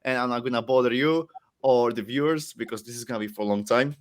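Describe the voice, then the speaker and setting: A man's voice: mid-range voice, fast talker. Young Czech-accented man, casual guest, loose rambling delivery, self-mocking. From Czech Republic. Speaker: guest wrestler. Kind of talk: casual podcast chat.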